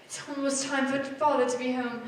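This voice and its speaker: A woman playing a tender young daughter, high and echoing. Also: flat delivery, fairly quick, a little hiss or hum, close to an everyday voice. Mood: consoling. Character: young daughter consoling her mother. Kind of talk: 1940s style radio drama acting. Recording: echoey stage from far off.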